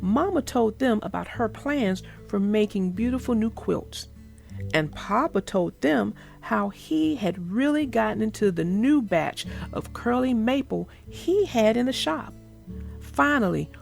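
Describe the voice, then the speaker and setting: A woman reads aloud and explains things to children as their storyteller. Her voice sits fairly high and swings widely in pitch, with a noticeable creak. She is emphatic and instructive, recorded close and dry. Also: animated, measured pace, darkish tone, faint music behind. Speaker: children's storybook narrator and host.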